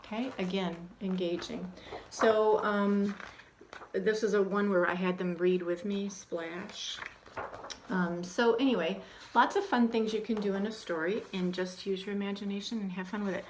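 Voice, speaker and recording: Woman, teacher trainer, conversational, plenty of ums, mid-pitched voice, faint background hiss. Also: moderate pace, low-quality recording. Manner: conversational, many ums, acts out questions to children in a hushed, animated voice. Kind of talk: instructional talk on reading aloud.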